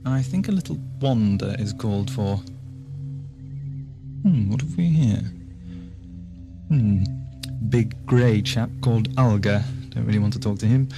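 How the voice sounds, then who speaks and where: An actor playing a whimsical, inquisitive time traveller in an audio drama, musing wryly to himself with music behind him. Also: male voice, lowish voice, a little slow, vocal fry, pauses often. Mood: curious, wry. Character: whimsical, curious time-travelling male investigator, wry and talkative. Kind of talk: audio drama acting, soliloquy.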